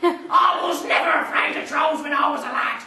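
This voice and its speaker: A man's voice: very high voice, moderate pace, gravelly, raised voice, a crowd in the background. A man voicing a scornful old farmer father who mocks his son and boasts in a told folk tale. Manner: mocking and boastful. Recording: roomy, distant mic, faint ambience.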